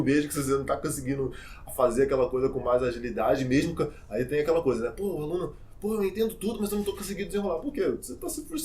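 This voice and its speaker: A young man explains things in a loose, chatty way, talking straight to his viewers. His voice sits a bit high for a man, with a faint hiss of background noise. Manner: chatty, informal, many fillers and asides; lively, addresses viewers directly. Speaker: English teacher. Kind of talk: casual teaching vlog.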